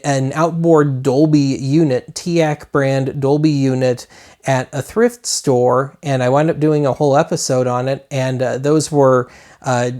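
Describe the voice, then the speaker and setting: Guy with a medium pitched voice doing casual rambly show and tell for his web show, chatty w/ wry asides. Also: darkish tone. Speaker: host of a retro media web show.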